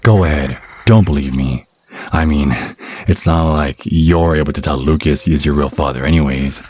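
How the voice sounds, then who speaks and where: Man acting a very deep, smug vampire captive. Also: normal speed, darkish tone, a little hiss or hum. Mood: sardonic, explaining. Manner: sardonic, mock-polite, teasing with pet names. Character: captured male vampire hunter, smug, taunting, cunning. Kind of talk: ASMR roleplay audio drama.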